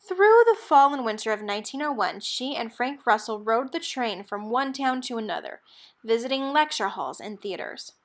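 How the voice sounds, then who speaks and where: A woman reading a children's picture book aloud in an expressive, measured storytelling manner. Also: a little high. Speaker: storybook reader.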